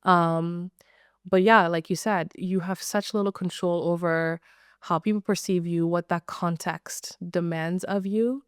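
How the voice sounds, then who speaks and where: An American woman hosting a podcast, asking questions in a relaxed conversational way and often affirming with "yeah". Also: lowish voice, measured pace, clean tone, no room sound, studio quality. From United States. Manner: warm affirming listener, frequent "yeah". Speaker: podcast host. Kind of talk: conversational interview.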